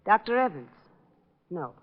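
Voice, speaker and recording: An actress playing a curt, guarded wife under questioning, in clipped, impatient 1940s radio detective style. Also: female voice, mid-range voice, very animated, measured pace. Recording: dull vintage radio recording.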